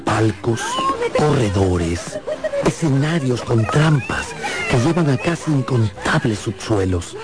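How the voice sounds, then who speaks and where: Theatrical male narrator with lively intonation. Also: medium pitch. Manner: theatrical, drawn-out emphasis on words. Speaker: narrator of a radio drama. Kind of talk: dramatic scripted narration. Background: loud music.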